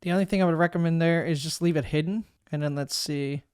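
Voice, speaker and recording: A man with a smooth, clean voice sitting a little high for a man talks through a software setup step by step. He thinks aloud as he clicks, in an easygoing tutorial manner, and the sound is close and dry with no room around it. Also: fairly even intonation, fast, no echo. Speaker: streamer giving a software tutorial. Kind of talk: casual screen-recorded walkthrough. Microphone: desk microphone.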